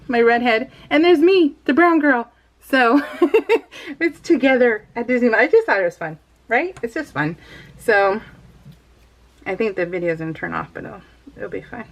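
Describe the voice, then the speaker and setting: A woman in her thirties chatting casually while doing a scrapbooking project, rambling and laughing often. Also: a little high. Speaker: scrapbooking vlogger. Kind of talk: casual voiced-over craft chat.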